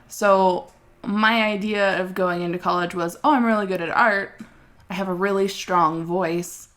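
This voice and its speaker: A young woman co-hosting a casual art podcast, telling her story earnestly with animated asides and laughter. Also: medium pitch. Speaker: podcast co-host. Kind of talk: casual chat.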